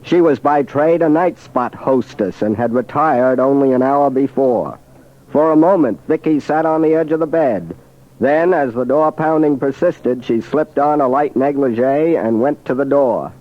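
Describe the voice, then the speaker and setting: A man's voice: medium pitch, moderate pace. An American man narrating a crime case from a script, in the style of 1940s radio. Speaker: guest narrator. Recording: muffled old radio recording.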